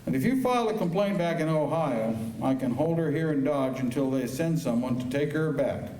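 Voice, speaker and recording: Heard from a distance in a roomy auditorium, a man plays a calm frontier marshal in a radio western. He speaks mellowly, with measured, fair-minded authority. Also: on the high side, close to an everyday voice. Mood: firm, reasoning. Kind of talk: staged radio western drama. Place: high school auditorium stage. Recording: echoey auditorium, distant mic, faint noise.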